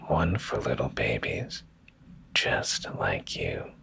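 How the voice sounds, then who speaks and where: Very deep, gravelly man murmuring ASMR. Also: very animated, a little hiss or hum. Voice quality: very deep, gravelly, breathy near-whisper. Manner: soft, hushed, soothing, drawn-out delivery. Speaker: ASMR streamer and bedtime story reader. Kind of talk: ASMR, slow soft bedtime story reading. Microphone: close ASMR microphone.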